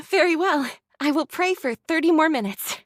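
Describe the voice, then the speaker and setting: A woman voicing a gentle, devout young android in a game, with a polite, soft manner. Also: sits high, normal speed, no room sound, studio quality. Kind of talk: anime-style game voice acting.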